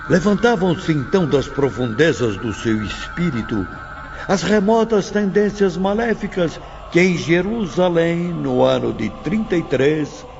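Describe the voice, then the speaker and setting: A man's voice: on the high side, gravelly, darkish tone, exaggerated voice. An actor playing a grave spiritual teacher, solemn and didactic as he lectures pupils in an audio drama. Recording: dull lo-fi, music behind.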